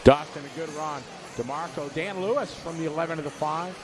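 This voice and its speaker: A man's voice: on the high side, noisy background. Man calling an RC race live. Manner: rapid play-by-play, reads viewer chat aloud. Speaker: race commentator.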